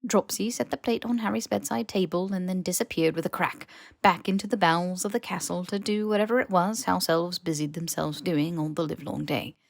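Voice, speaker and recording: A woman reading fiction as a scripted audiobook narration, in an expressive, wry storytelling manner. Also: fairly low pitch, brisk, gravelly, no echo, clean studio sound. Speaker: podfic narrator.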